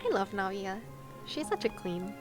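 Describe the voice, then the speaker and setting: A young adult woman chatting casually as a game streamer, with an expressive, giggly, reactive way of talking. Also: medium pitch, moderate pace. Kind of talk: casual game livestream chat. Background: faint game music.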